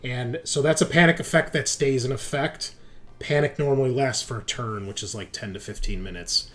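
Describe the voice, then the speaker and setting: A man's voice: mid-range voice, moderate pace, fairly bright tone. A man acting as game master, explaining rules and narrating a tabletop roleplaying game in a conversational, explanatory way.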